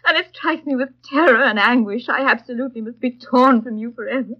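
A woman's voice: a little high, fairly quick, close to an everyday voice. An actress in a 1930s radio drama playing an earnest, passionate young governess in love with her master, speaking in a formal, literary way. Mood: anguished, passionate. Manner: literary, formal, heartfelt delivery. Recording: dull lo-fi 1930s radio recording.

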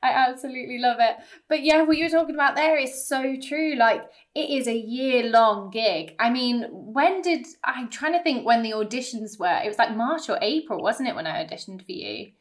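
A woman's voice: fairly high pitch, expressive, normal speed, few pauses. An English woman hosting a casual interview chat, speaking in an enthusiastic, encouraging way. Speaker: podcast host, pantomime performer.

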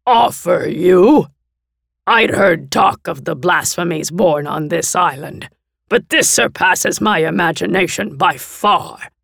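Woman doing a gravelly diseased monster guy, raspy, slow and threatening, audiobook villain. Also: lowish voice, fairly bright tone, no echo, studio quality, pitched above the performer's own voice, exaggerated voice. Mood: haughty. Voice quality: raspy, ruined throat. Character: diseased, gilled male envoy of a horde lord, arrogant and menacing. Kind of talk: audiobook character voice.

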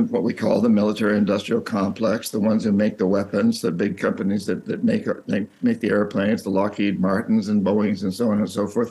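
Low-pitched American man, halting and reflective. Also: darkish tone. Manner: reflective, halting with repeated words. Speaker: interview guest, author. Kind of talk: interview conversation.

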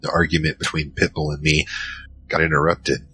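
Man playing a very deep-voiced giant troll narrator. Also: flat delivery, fairly quick, pushed and bright, slightly rough, heavily acted. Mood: recounting, uneasy. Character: giant troll, worried, soft-hearted first-person narrator. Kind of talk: audio drama narration and dialogue. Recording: music behind.

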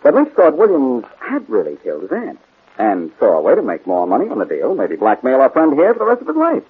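A fast-talking, husky male amateur sleuth from a 1940s radio crime drama. He is glib, cocky and needling, pressing hard with his questions. His delivery swings widely in pitch, on a muffled vintage broadcast recording. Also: wildly swooping pitch, exaggerated voice. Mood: smug, cool. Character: confident, wisecracking amateur detective, quick and needling.

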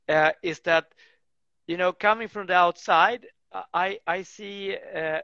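A man's voice: fairly high pitch, pushed and bright, no room sound, dull audio. A man speaking English as an in a casual interview, rambling with many restarts and fillers. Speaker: guest, tree work business owner.

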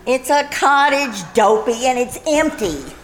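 A huffy teenage girl, acted by a woman, high and animated, with drawn-out phrasing in old-time radio comedy style. The live recording has faint noise behind her. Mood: huffy, bickering. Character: defensive, huffy teenage daughter of the family. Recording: live performance with background noise.

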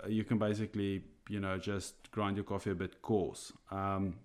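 A man whose first language is Afrikaans, speaking English with an Afrikaans accent, explains coffee on a podcast from his office over a remote call, halting and restarting with small chuckles. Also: sits low, little pitch movement. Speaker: coffee roaster co-host.

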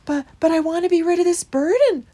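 Woman as a timid, plaintive little mouse, pitched high. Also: lively intonation, moderate pace, a little mellow. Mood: tearful. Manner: stammering, plaintive. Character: small timid male mouse, sorrowful and anxious.

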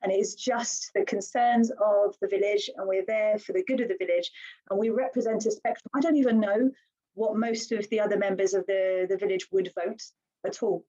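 A woman from Britain speaks with animated earnestness, urging listeners on as a podcast guest. Her voice sits at an ordinary middle pitch. The recording is a bit dull and lo-fi. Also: moderate pace. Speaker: guest, village councillor. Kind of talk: casual podcast conversation. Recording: slightly dull lo-fi sound.

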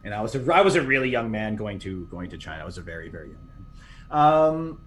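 A man's voice: on the low side, expressive, quick pace, fairly bright tone, slight background noise. A man in his thirties from Canada, telling stories in a casual, rambling, self-deprecating way, full of restarts and fillers. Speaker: podcast guest. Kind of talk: casual conversational storytelling.

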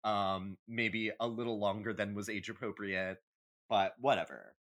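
A man's voice: on the low side, steady tone, a little slow, fairly bright tone. A man co-hosting a podcast, chatting casually and comedically in an emphatic, opinionated way with some swearing. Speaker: podcast host.

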